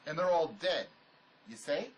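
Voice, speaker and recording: A man acts out a wary escaped convict and robber in a drama, gruff and sarcastic. His pitch sits a little high and swings widely. There is faint noise on the recording. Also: animated, a little slow, low-quality recording. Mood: suspicious. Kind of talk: dramatic script performance.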